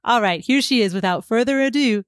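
A woman's voice: on the high side, a little fast, dry recording, clean studio sound. A woman hosting a podcast, reading an upbeat intro and chatting with a guest, laughing easily. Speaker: podcast host. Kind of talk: podcast intro and casual chat.

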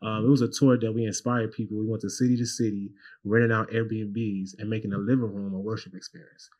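A man in his twenties, a guest minister, talking about his life in a casual, animated way, often repeating and restarting. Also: on the low side, a little fast. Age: 20s. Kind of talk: casual interview talk.

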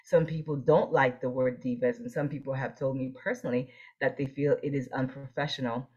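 A woman's voice: rumbling low, steady tone, a little fast, a little mellow, breathy edge, dull audio. A woman from Haiti speaking earnestly and assertively in a conversational interview, repeating phrases for emphasis. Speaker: interview guest, optometrist and leadership coach.

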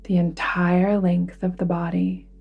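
A woman guiding a relaxation meditation in a soothing, deliberate manner, with phrases that sometimes fall to a hush. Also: fairly low pitch, little pitch movement, husky, highs cut off, faint music behind. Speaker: yoga nidra guide. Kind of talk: guided relaxation meditation narration.

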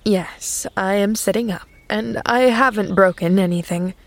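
A woman's voice: mid-range voice, a little slow, dry recording, lightly acted. Lady voicing a troubled minister man, solemn and stern, declaiming, dry recording. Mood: weary. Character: troubled stern middle-aged male minister.

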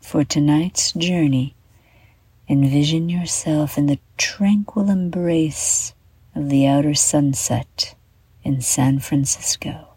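A woman guiding a children's bedtime meditation in a soft, breathy half-whisper, gently and soothingly, with deliberate pauses. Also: low-pitched, dry recording, poor audio. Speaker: meditation guide and show host.

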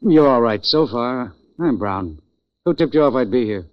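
A man's voice: medium pitch, animated, moderate pace, no room sound, lightly acted. An actor playing a suspicious, threatening gambling crook in a 1940s radio crime drama, terse and full of tough-guy slang. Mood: menacing, suspicious. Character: suspicious, menacing gambling crook holding a gun. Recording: dull, dry old radio recording.

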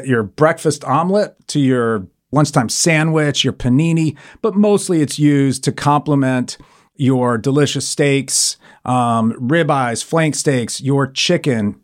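A man's voice: mid-range voice, slow pace. Chatty man guest on a radio show. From Argentina and Italy. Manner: friendly, rambling with frequent fillers. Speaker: guest, sauce company founder. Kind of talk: radio interview. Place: radio studio.

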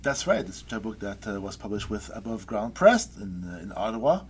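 A low-pitched middle-aged man talks slowly and thoughtfully about his own poem. He searches for words and hesitates often. A faint hiss sits under the recording. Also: very animated. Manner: thoughtful, hesitant with many fillers. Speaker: poet guest. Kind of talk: poetry reading and interview.

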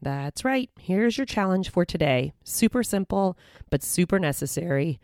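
Bubbly, slightly hoarse woman host. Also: fairly low pitch, animated, moderate pace, a little mellow, no echo, clean studio sound. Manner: bubbly and encouraging. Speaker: podcast host. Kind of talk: upbeat self-help podcast talk.

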